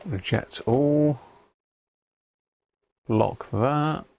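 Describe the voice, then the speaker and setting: British man with a creaky voice, chatting live. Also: medium pitch, very slow, darkish tone, no room sound. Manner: informal, tag questions, thinking aloud. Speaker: livestream host. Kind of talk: casual live chat, talking to viewers.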